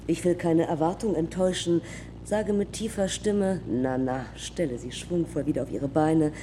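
A woman's voice: sits low, normal speed, dark tone, long reverb tail, a little hiss or hum, natural voice. An actress playing a self-possessed young woman aviator in a German radio drama, speaking in terse, clipped fragments. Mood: wry recollection. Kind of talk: German public radio drama, lyrical dialogue.

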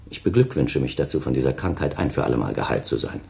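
A man's voice: rumbling low, sing-song, quick pace, vocal fry, mellow, breathy edge, heavily acted. An actor playing a callous, self-pitying man of thirty in a German radio play, haughty, theatrical and dismissive. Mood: cold, unmoved. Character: cold, self-pitying thirty-year-old man, callous scornful former lover.

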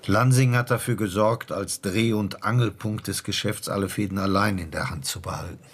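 A seasoned police inspector, speaks in a deep register. The voice is gravelly and husky, the delivery dry and matter-of-fact, as in a naturalistic radio crime play. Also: male voice, moderate pace. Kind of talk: naturalistic German radio crime drama dialogue.

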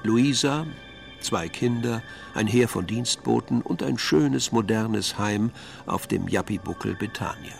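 A male narrator tells a crime story in a scripted radio-play style. His voice is lowish and distinctly creaky, with a wry edge, and music plays behind it. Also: a little slow.

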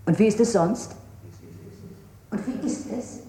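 A woman's voice: mid-range voice, unhurried, dark tone, roomy sound, a little hiss or hum, close to an everyday voice. An actress playing a terse, insistent female interrogator in a 1960s German radio play. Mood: probing.

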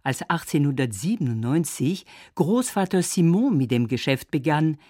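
A woman narrating a scripted radio feature in an expressive, storytelling manner. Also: sits low, slightly rough, no room sound, studio quality.

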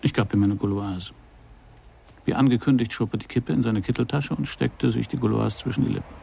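An actor playing a wry, world-weary private detective in a noir radio play, with a dry, sardonic delivery. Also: male voice, medium pitch, steady tone, brisk, creaky, mellow, slight background noise. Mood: dry, observant. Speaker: narrator and lead of a crime radio play. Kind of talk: first-person noir radio drama narration.

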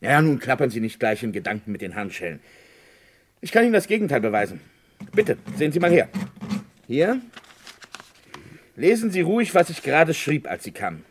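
A man's voice: mid-range voice, huge pitch swings, a little fast, long pauses, slight background noise. A man playing a formal, self-possessed and condescending small-town lawyer in a radio crime drama. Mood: indignant, controlled.

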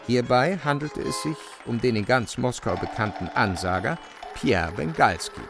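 A man narrating a literary radio drama with measured, dramatic storytelling. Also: medium pitch, lively intonation, gravelly, music in the background.

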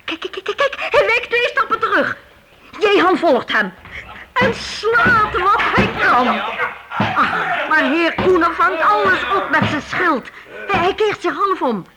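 An actor playing an excited storyteller calling a knights' duel in a radio play, dramatic and exclamatory. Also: very high voice, slow pace, exaggerated voice. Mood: excited, tense. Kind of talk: Dutch radio play narration. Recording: loud crowd noise behind voice.

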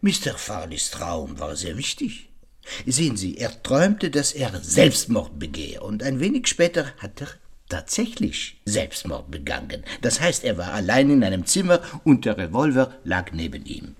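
Theatrical, self-assured detective, very animated. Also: male voice, fairly low pitch, slightly rough, heavily acted. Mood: grave, suspenseful. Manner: deliberate, rhetorical questions, suspenseful explaining. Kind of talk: radio crime drama acting, dramatic monologue.

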